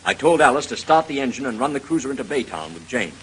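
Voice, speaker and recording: An actor playing a devoted, earnest husband in a 1930s radio drama, speaking with urgency. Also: male voice, medium pitch, sing-song, fast, fairly bright tone. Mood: urgent confession. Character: devoted husband covering up his wife's crime. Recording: noisy vintage radio recording.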